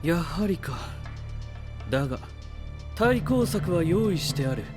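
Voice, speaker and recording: A man's voice: fairly high pitch, sing-song, slow, music in the background. A man playing a confident, theatrical young scientist in an anime-style voice drama, speaking in grand, self-assured declarations. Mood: composed, confident.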